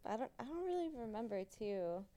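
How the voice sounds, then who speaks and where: A woman's voice: fairly high pitch, very animated, a little fast, warm tone. A woman guest on a podcast telling a story in a giggly, chatty way with quoted asides. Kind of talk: casual podcast chat.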